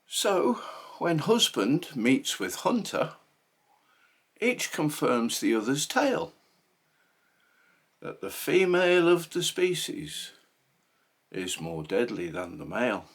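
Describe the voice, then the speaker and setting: Husky man reciting poetry with sweeping intonation. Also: medium pitch, very animated. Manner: theatrical, emphatic, deliberate phrasing. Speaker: poetry reader.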